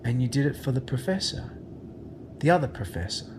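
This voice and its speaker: A man's voice: mid-range voice, animated, brisk, lightly acted. An actor playing an earnest, thoughtful ordinary man in an audio drama, slightly hesitant in his delivery. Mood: earnest, accusing. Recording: noisy ambience behind the voice.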